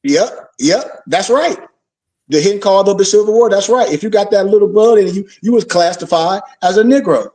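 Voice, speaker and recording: High-voiced man preaching a lecture. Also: moderate pace, warm tone, distant mic. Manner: preachy, rhetorical questions, call-and-response. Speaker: religious teacher. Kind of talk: informal lecture.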